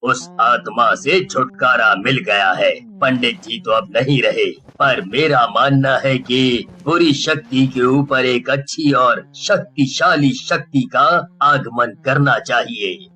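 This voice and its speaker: A man voicing a grave village uncle who warns and advises in a horror story, measured in manner. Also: medium pitch, sharp tone, rough recording. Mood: solemn relief.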